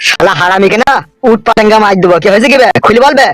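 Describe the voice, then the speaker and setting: Man playing a quick, hot-tempered village boss. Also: sits high, fairly bright tone, dry recording. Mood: angry. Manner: coarse, bullying. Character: foul-mouthed, hot-tempered village politician in cartoon comedy. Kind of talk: cartoon comedy dialogue.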